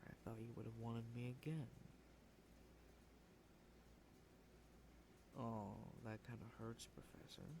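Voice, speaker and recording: Man acting as a young male tsundere student, ASMR roleplay, unhurried, crackly fry, hesitant half-whispers, teasing, intimate. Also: medium pitch. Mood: pouty, teasing.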